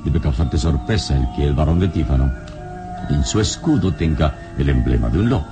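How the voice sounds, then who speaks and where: An actor playing a calm, erudite adventurer hero in an old-time radio drama, speaking in a measured, deliberate, didactic way. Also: male voice, rumbling low, over music. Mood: calm, instructive.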